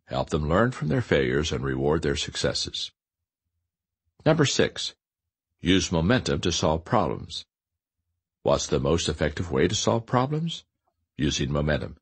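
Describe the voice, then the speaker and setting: Male audiobook narrator for instructional nonfiction; low, husky voice, emphatic on key points.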